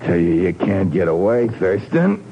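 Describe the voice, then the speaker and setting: An actor playing a menacing, taunting villain in a 1950s radio drama. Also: male voice, deep voice, sing-song, moderate pace, highs cut off. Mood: stalking threat. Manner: taunting, threatening. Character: menacing villain, a brutal man hunting in the dark. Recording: noisy, clicking old radio recording.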